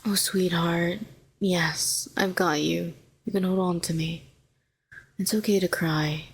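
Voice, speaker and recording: A woman's voice: lowish voice, steady tone, a little slow, close to an everyday voice. A woman playing a telepathic villain, a former hero who is sardonic yet tenderly protective, in an intimate audio roleplay. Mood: soothing, tender. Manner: sharp and sardonic, turning soothing and coaxing.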